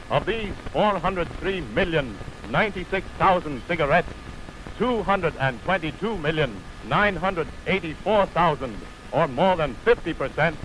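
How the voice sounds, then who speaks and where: A man's voice: on the high side, fairly bright tone, a little hiss or hum, poor audio. An American man reading a scripted advertisement as a radio announcer in a formal, declamatory 1930 style, on an old broadcast recording. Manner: formal, declamatory, measured enunciation. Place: radio studio.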